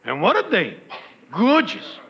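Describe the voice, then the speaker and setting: An actor playing a wary, wisecracking working-class buddy in a 1940s radio comedy, talking slang. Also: male voice, high-pitched, wildly swooping pitch, a little slow, vocal fry, full character voice. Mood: relishing the tale. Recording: noisy, echoing, dull old radio recording.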